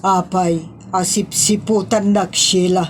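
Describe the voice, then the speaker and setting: A woman's voice: medium pitch, little pitch movement, takes it slowly, close to an everyday voice. Woman as spiteful female superior, Filipino accent, confrontational, scornful, radio-style drama, slight background noise. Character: haughty older female office superior, spiteful and quarrelsome. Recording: faint noise and clicks.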